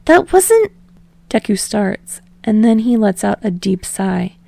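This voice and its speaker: Woman narrating fiction, creaky voice. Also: medium pitch, fairly even intonation, measured pace, dark tone, dry recording. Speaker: fan fiction audiobook narrator. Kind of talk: scripted story narration.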